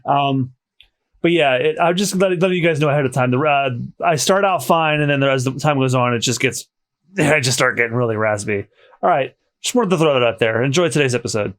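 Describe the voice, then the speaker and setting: A man hosting a casual podcast, chatty and slightly hoarse, often restarting his sentences. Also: mid-range voice, fairly quick, a bit bright. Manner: chatty, self-correcting restarts. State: slightly hoarse after dental work. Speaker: podcast host.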